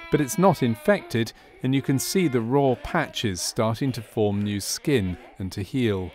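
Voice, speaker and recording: A man's voice: medium pitch, a little slow, darkish tone, faint music behind. A man reading scripted narration for a medical training film.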